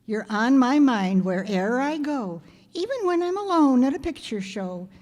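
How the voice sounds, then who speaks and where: The sound rings with the long reverb of a hall. A woman acts out a schoolteacher from an old-time radio comedy, wry and quick with a wisecrack. Her voice sits a bit high and swings widely, while the delivery stays dry and sardonic. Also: big pitch swings, a little mellow. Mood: dry amusement. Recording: reverberant hall stage recording.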